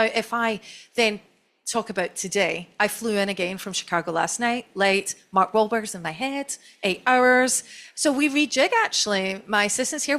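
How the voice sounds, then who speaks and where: Scottish woman, middle-aged, chatty storyteller, self-deprecating, medium pitch, stage panel talk. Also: a bit bright. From Scotland. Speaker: chief marketing officer on a panel.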